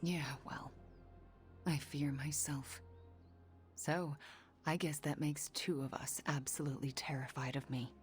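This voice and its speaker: A woman playing a fragile, self-loathing young sorceress in a video game, hushed and sighing. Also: low voice, steady tone, measured pace, pauses often, faint music behind. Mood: subdued, weary. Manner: hushed, sighing, breathy on heavy words. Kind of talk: video game voice acting, naturalistic drama.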